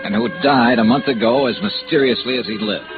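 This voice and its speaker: An American actor playing a hard-boiled, impatient investigator in a radio noir drama, with a clipped, dry, sardonic delivery. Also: male voice, medium pitch, a little fast, over music, lightly acted. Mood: dry, businesslike. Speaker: lead actor and narrator. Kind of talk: 1950s American radio noir acting and narration. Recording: tinny vintage radio recording.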